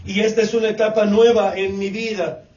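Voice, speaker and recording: High-voiced preacher in an echoey hall. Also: male voice, level tone, fairly quick, darkish tone. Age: 40s. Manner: repetitive preaching cadence, addresses congregation as brothers. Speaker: pastor. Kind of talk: sermon. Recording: echoey, distant, dull church recording.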